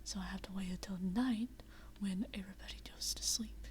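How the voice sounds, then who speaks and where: A young woman whispering quietly at night in a living room, chatting unscripted with many fillers and restarts. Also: medium pitch, fairly even intonation, brisk, slight background noise. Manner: rambling with frequent fillers and restarts. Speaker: VRChat vlogger. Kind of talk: unscripted casual chat.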